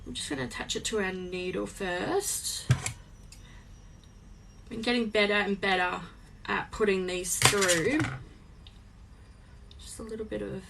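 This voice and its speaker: A young woman with a slightly croaky voice, chatting in a rambling way, full of ums, while she sews on a live stream from her home craft space. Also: medium pitch, unhurried, long pauses, noisy background. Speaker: small business owner live-streaming crafts. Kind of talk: casual chat while sewing. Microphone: phone on a live stream.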